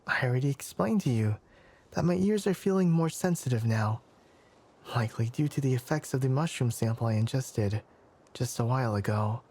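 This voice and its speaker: A man playing a flustered, formal young fox-eared forest scholar in an intimate ASMR anime roleplay, hesitant and stammering, often slipping into a breathy whisper. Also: medium pitch, normal speed, a little mellow, lightly acted. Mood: flustered, protesting.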